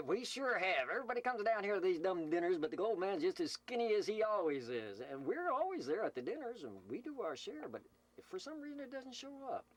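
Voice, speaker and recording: A man's voice: sits high, big pitch swings, brisk. Folksy, teasing man making community radio announcements. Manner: joking, teasing, rambling. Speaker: CB radio announcer and host of a roll call. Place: Slab City, California desert camp. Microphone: CB radio microphone, filmed while speaking.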